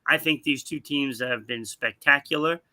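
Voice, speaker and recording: A man co-hosting a live sports talk show, giving opinions in a deliberate way with pauses mid-sentence. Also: mid-range voice, sharp tone.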